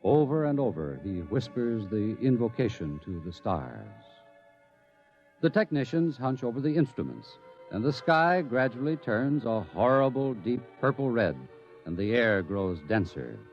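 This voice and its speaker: A man giving solemn, slow scripted narration in a 1950s radio drama. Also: mid-range voice, a little mellow. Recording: muffled old radio broadcast over music.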